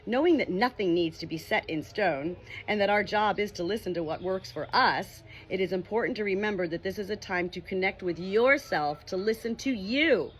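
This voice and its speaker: A woman reading a meditation book aloud as scripted narration, in a measured, expressive way. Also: mid-range voice. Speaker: audiobook reader.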